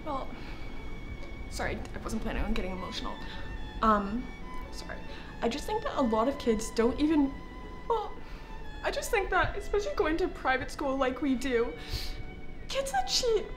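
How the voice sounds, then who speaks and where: Goody-two-shoes student interviewee, acted by a young woman, very high voice, emotional and apologetic, faint music underneath. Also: poor audio. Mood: tearful, earnest. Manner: apologetic, halting.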